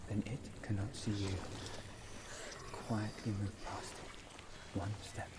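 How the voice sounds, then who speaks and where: A man playing a calm, gentle guide who soothes with measured, reassuring, hushed delivery in a video game drama. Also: lowish voice, heavy background noise. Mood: soothing, guiding.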